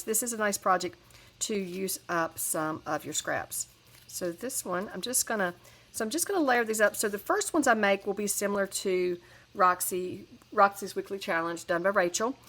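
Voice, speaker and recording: A woman's voice: medium pitch, pushed and bright. A woman with a head cold, chatting casually through a crafting tutorial with lots of fillers and restarts. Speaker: crafting channel host.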